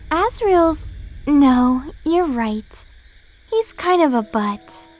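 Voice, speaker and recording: A woman playing a gentle, self-doubting young guardian angel in an audio drama, apologetic and hesitant in manner. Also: high-pitched, sing-song, extremely slow, full character voice. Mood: shy, gently teasing. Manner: soft-hearted, apologetic, hesitant. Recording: music under the voice. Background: music.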